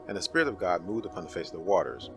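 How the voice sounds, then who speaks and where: A man's voice: low-pitched, sing-song, rapid-fire, faint music behind. A man narrating scripture as a dramatic reading, in a solemn, declamatory manner.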